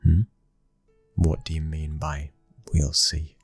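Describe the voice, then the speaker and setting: A young British mafia heir, acted by a man, cold, dominant and protective. His very deep voice is husky and breathy, and barely rises or falls. He speaks slowly in a hushed ASMR roleplay, close and dry over faint music. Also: flat delivery, dry recording, full character voice. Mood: cold, guarded. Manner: slow, hushed, commanding. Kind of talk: ASMR boyfriend roleplay acting.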